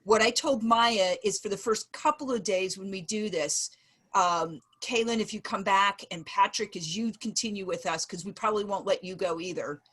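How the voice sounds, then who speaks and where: A woman in her forties runs a video call meeting in a mid-pitched voice. She lays out her points in order, one number at a time. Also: normal speed, a bit bright, breathy edge. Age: 40s. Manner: organized, summarizing in numbered points. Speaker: program facilitator.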